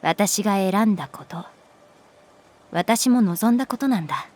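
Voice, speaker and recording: A woman playing a caring, composed female homeroom teacher in a Japanese voice drama, speaking in a calm, measured and gentle way. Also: medium pitch. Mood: firm, restrained.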